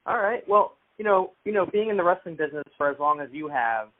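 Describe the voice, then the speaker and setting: A man's voice: fairly high pitch, lively intonation, fairly quick, phone-quality sound. A man interviewing a guest over the phone, asking long rambling questions. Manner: rambling, filler-heavy questions.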